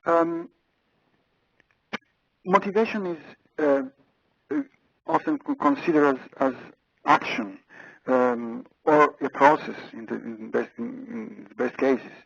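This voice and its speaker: A man's voice: a little high, expressive, a little slow, vocal fry, pauses often, no room sound. A man with an Italian accent presenting a webinar over a phone handset, hesitant, with many fillers and repeated words. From Italy. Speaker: webinar speaker. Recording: muffled phone line.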